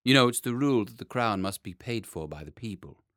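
A man voicing a grave, formal, courtly gentleman statesman with a solemn, stately manner in a storybook. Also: fairly low pitch, expressive, brisk, no echo, studio quality. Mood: solemn, explaining.

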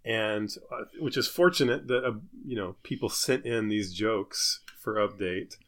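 A man's voice: fairly low pitch, a little slow, a bit bright. A man co-hosting a comedy podcast, bantering casually and reading satirical news jokes in a self-deprecating way, often laughing through his own lines. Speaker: podcast co-host and joke writer.

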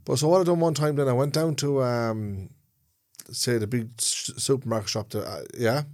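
A man's voice: mid-range voice, normal speed, a little mellow. A man with a Dublin Irish accent, co-hosting a podcast, telling stories in a rambling, casual way and checking in with his listener. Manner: rambling storyteller, checks in with "yeah?". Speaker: podcast co-host. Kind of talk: casual chat.

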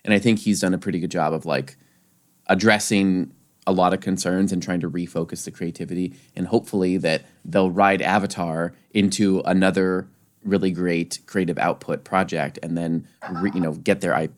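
A man's voice: deep voice, fairly even intonation, normal speed. A man co-hosting a casual discussion podcast, rambling with many restarts and fillers. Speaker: podcast co-host.

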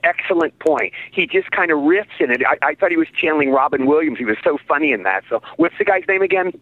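A man in his sixties, an actor guest in a casual interview, telling stories excitably and repeating his phrases. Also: fairly high pitch, fast, no echo. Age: 60s. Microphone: phone. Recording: tinny phone line.

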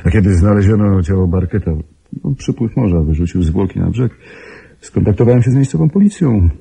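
An actor playing a self-assured, gently teasing suitor and amateur sleuth who explains wryly in a radio play. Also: male voice, lowish voice, expressive, brisk, creaky, breathy edge, heavily acted. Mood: wry, explanatory. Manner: explanatory, wry. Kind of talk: Polish radio drama acting.

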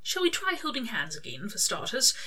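Genteel male angel performed by a woman reader, earnest, hesitant, courteous, bright timbre, storybook dialogue. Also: fairly high pitch, wildly swooping pitch, brisk, pitched above the performer's own voice, heavily acted. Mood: earnest, tentative. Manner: polite, old-fashioned, hesitant phrasing.

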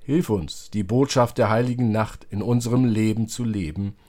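A man's voice: on the low side, normal speed, darkish tone. A man reading psalm and prayer as a church service leader, solemn and declamatory.

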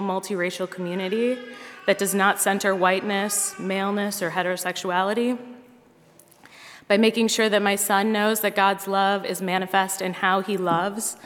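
A woman's voice: medium pitch, a bit bright. Woman giving testimony in a reverberant hall. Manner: rhythmic, repeating refrains. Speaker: congregation speaker. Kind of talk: earnest personal testimony in church. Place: large church hall.

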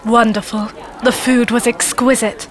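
A woman's voice: fairly high pitch, level tone, a little slow, natural voice, rough recording. A woman playing a posh, affected, pretentious well-travelled diner in a comedy sketch. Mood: showing off. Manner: affected, showing off.

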